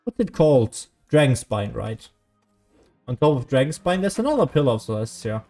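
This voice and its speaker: A man's voice: mid-range voice, sing-song, moderate pace, warm tone, faint music behind. A man casually streaming a video game, musing aloud as he comments to his chat. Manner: musing aloud, hums to self.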